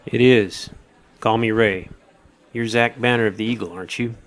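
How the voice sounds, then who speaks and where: A man's voice: medium pitch, fairly even intonation, normal speed, natural voice. A man playing a composed, friendly starship captain in an audio drama. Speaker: voice actor. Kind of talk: audio drama log narration. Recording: music behind the voice.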